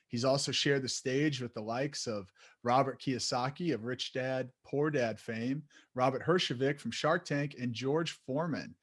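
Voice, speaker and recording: A man's voice: medium pitch, fairly bright tone. Upbeat male podcast host. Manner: upbeat, friendly interviewer. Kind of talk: interview hosting.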